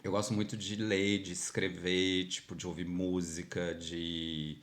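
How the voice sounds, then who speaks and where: A man's voice: low voice, unhurried. A man from Minas Gerais with a Brazilian Portuguese accent, a podcast guest chatting casually and animatedly, full of fillers. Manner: animated storyteller, many fillers like "tipo" and "sabe". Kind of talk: casual interview chat.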